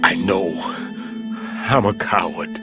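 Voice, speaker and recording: A man playing a weary, guilt-ridden older bomb disposal expert in a video game, speaking gravely and dramatically. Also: sits low, takes it slowly, loud music behind the voice. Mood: anguished, remorseful.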